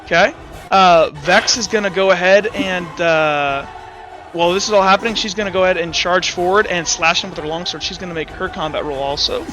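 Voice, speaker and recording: A man acting as game master, narrating and explaining rules in a halting, self-correcting way. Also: sits high, pushed and bright, low-quality recording. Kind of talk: improvised tabletop game narration and rules talk.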